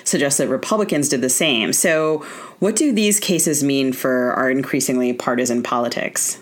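Female podcast host, American, notably low voice, introduces and interviews guests. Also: moderate pace. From United States, Maryland.

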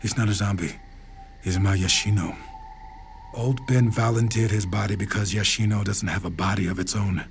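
An actor playing a commanding mystic healer who speaks in a measured, cryptic, curt way in an audio drama. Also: male voice, fairly low pitch, steady tone, gravelly, soft background music. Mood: calm command. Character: calm, commanding male mystic healer and gardener.

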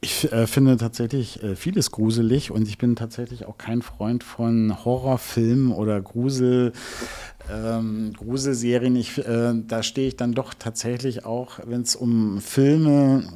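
Middle-aged man, mellow dark tone, medium pitch, business interview guest, halting deliberate explanations. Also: fairly even intonation. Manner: halting, deliberate, formal wording. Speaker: interview guest, music label executive.

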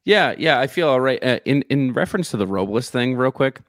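A man's voice: mid-range voice, expressive, brisk, no echo, studio quality. A man co-hosting a sports podcast in a casual, conversational style.

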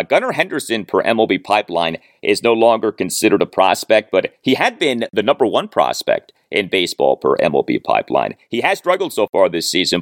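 Low-voiced, animated male sports podcast host. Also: dry recording, studio quality. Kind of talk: solo sports commentary.

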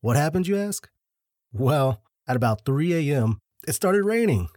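A man co-hosting a podcast, telling a story in a laid-back, deliberate way with dry jokes, a little short of breath. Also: a little high, big pitch swings, darkish tone, no echo. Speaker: podcast co-host.

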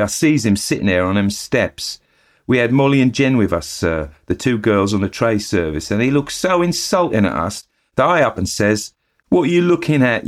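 A man voicing a young Cockney bellboy who talks in rough working-class speech, full of sirs. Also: on the low side, pitched above the performer's own voice, close to an everyday voice. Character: young Cockney bellboy, plain-spoken and earnest.

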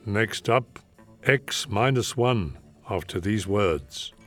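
A man's voice: on the low side, expressive, takes it slowly, a bit bright. A man hosting a radio show with scripted announcements. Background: faint music.

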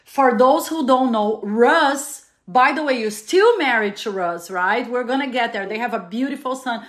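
A woman, hosting a casual podcast interview in an animated way and laughing often. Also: fairly high pitch, moderate pace. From Latin America. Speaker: podcast host.

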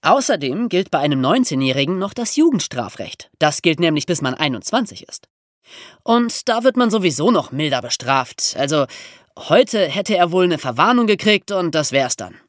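Podcast history presenter, mid-pitched voice, lively intonation, dramatic storytelling emphasis, dry studio sound. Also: normal speed, dry recording.

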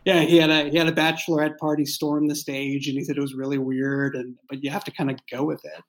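A man in a casual behind-the-scenes discussion, talking as a writer and explaining things in a halting way, often backing up to rephrase. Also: a little high, level tone. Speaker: fiction podcast writer and creator.

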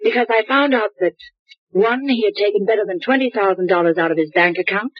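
An actress playing a sharp, guarded young woman in 1940s radio drama style, on an old dry radio recording. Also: mid-range voice, fast, slightly rough, dry recording, dull audio, close to an everyday voice. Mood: tense.

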